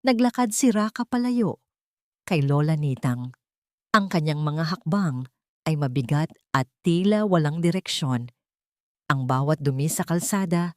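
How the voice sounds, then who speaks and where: Female audiobook narrator for melodramatic fiction, slightly low pitch, dry close recording. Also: no echo. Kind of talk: dramatic scripted story narration.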